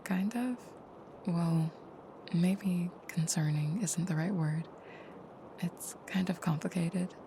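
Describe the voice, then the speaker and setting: Woman doing a gentle vampire gf roleplay, soft hesitant near-whisper, lots of fry, noisy hiss behind her. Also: on the low side, slow, pauses often. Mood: hesitant, confiding. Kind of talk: intimate audio roleplay, comforting the listener.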